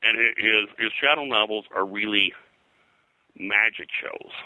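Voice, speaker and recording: A man talking informally as an interview guest and historian, rambling and haltingly, often repeating words. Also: on the low side, pushed and bright, no echo, rough recording. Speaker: guest, pop culture historian. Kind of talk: informal interview talk about pulp fiction history.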